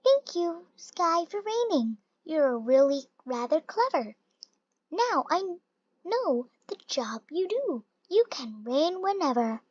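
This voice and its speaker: Storybook reader, high childlike voice, unhurried and animated, close dry lo-fi sound. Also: no echo. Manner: slow, deliberate, expressive storytelling. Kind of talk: read-aloud of a rhyming children's picture book. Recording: dull lo-fi recording, little room sound.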